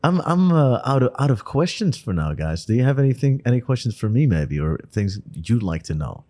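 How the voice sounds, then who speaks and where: A man's voice: sing-song, fairly quick, warm tone. A man in his twenties from the Netherlands, a native Dutch speaker, hosting a podcast in a chatty, laughing way. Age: 20s. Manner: chatty, laughing, rising into higher pitch when curious. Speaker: podcast host. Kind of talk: casual podcast interview.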